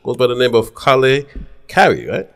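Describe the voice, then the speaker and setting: A man's voice: medium pitch, very animated, moderate pace, a bit bright, clear, resonant tone. A man hosting a podcast interview in a casual, encouraging way. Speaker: podcast host. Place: podcast studio.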